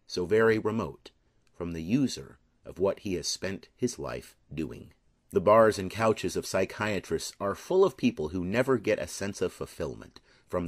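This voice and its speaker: A man reading a personal letter aloud in an earnest, measured, deliberate way. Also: low-pitched, dry recording, studio quality. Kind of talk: scripted reading of a heartfelt letter.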